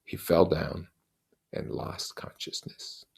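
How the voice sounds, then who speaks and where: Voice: a bass voice, slow, creaky, a little mellow, slightly rough. A narrator reading a novel aloud expressively and adding explanatory comments.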